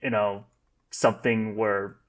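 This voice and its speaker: A man talking casually as a podcast guest, halting and rambling with many filler words. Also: lowish voice, flat delivery. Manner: rambling, halting, heavy use of "like". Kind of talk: casual group discussion.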